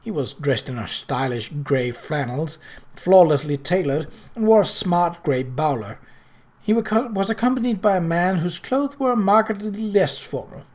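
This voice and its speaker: A narrator reading a period novel aloud in an expressive way, with occasional stumbles. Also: mid-range voice, moderate pace. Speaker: audiobook reader.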